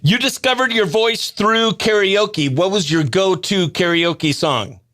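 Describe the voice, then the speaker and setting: A man hosting a radio show, chatting in an informal, excitable, slangy way. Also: sits high, measured pace. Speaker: radio host. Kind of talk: casual behind-the-scenes chat and upbeat radio interview.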